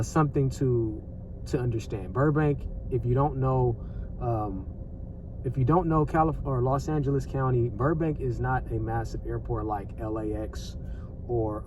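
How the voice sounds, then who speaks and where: A man's voice: mid-range voice, far from the mic, background noise. An American man giving casual, off-the-cuff commentary as a vlogger, conversational and repetitive, with plenty of slang and swearing.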